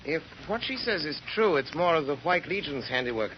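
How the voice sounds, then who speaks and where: A man playing a suave, composed gentleman sleuth, calm and knowing, in old radio drama style. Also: a little high, a little fast. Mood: urbane. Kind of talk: 1930s radio drama acting. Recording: muffled, hissy old radio recording.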